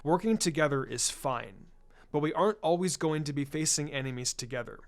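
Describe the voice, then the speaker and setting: A man's voice: fairly high pitch, expressive, brisk, faint music behind, pitched below the performer's own voice. A man voicing a brooding, impatient teenage ninja boy in a story reading, terse and serious. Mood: resolute. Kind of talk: storybook-style character reading.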